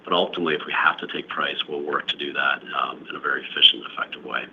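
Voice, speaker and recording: A man speaking as a company executive, answering questions on an earnings call in a measured corporate manner, often repeating words. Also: extremely low pitch, fairly even intonation, husky. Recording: dull lo-fi call audio, faint noise.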